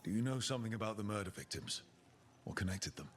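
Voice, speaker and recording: Man acting a lowish, steady team leader. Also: quick pace, natural voice. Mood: inquiring. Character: resolute male team leader in a fantasy adventure. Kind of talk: video game voice acting.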